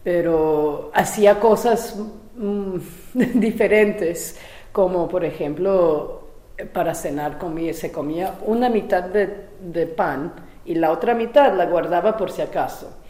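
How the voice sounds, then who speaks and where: A woman, a playwright giving an interview, talking in a casual recollecting way with pauses, fillers and uneven pace. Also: on the low side, dark tone, reverberant, low-quality recording. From Venezuela. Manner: hesitant, restarts and fillers, speeds up and slows down.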